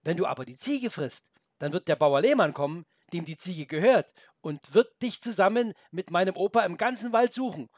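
A man voicing a brave young boy in a storybook, speaking high, urgent and pleading. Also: animated, normal speed, dry recording, clean studio sound. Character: brave young boy, frightened but clever. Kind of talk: storybook reading.

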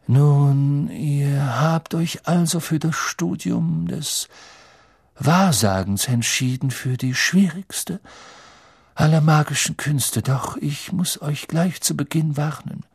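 A mystical female divination teacher from a storybook. The voice is lifted high and somewhat husky, drawing words out slowly and portentously, airy and theatrical with an ominous edge. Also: a little mellow, dry recording, clean studio sound, full character voice. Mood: mystical, grave. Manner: slow, drawn-out, portentous delivery.

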